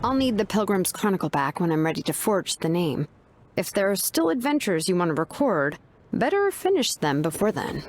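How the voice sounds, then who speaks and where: Actress doing chill young woman in an anime game, frank n sincere, mid pitch, kinda casual but expressive. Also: moderate pace, a little hiss or hum, natural voice. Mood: earnest. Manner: casual, frank, slightly hesitant. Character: laid-back, sincere young woman, a skilled name forger.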